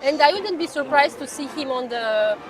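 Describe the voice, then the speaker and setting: A woman from Romania, a native Romanian speaker, chatting as a live commentator outdoors with halting, repetitive non-native phrasing. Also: sits high, expressive, recorded from a distance, poor audio. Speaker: race commentator. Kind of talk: casual live commentary chat. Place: outdoors on a marina pontoon. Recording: crowd noise outdoors.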